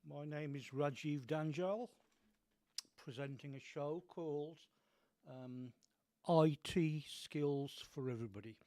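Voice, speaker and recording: A man hosts a radio show in a studio. His voice is a little dry today, and he talks loosely with plenty of hesitant ums and uhs. His pitch sits in an ordinary middle range. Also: warm tone. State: slightly dry voice, coughing. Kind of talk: unscripted radio talk.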